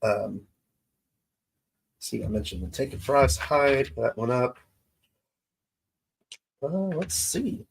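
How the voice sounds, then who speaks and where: A man's voice: medium pitch, very animated, creaky, breathy edge, low-quality recording. Stuffy-nosed man hosting a live stream. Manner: easygoing, halting with many pauses. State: stuffy nose from allergies. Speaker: show host. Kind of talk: casual livestream chat with viewers.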